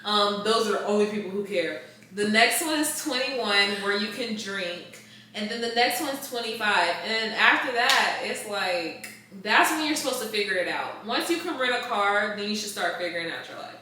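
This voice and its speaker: Echoey, bright-voiced woman in her late twenties. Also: mid-range voice, expressive, moderate pace. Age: late 20s. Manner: blunt, emphatic, informal slangy talk. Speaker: podcast host. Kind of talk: casual advice chat. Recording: roomy echo, distant mic, faint noise.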